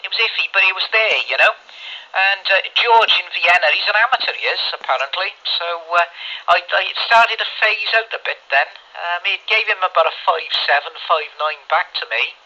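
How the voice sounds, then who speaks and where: Man, very bright sharp voice, higher pitched, chummy rambling radio chat. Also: fairly quick. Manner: rambling, chummy, mild swearing. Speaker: amateur CB radio operator. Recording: dull lo-fi radio audio, phone recording.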